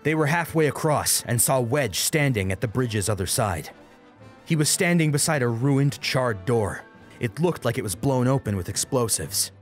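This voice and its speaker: A man's voice: medium pitch, lively intonation, normal speed. A man reading a dramatic action story as an audiobook narrator. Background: music under the voice.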